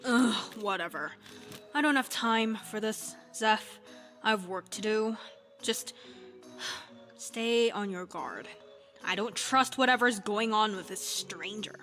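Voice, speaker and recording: Exasperated female guard for a game, suspicious and curt, slow delivery, hard-edged bright tone. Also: medium pitch, soft background music. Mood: impatient, wary. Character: curt, suspicious, impatient woman on guard duty. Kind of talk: video game voice acting.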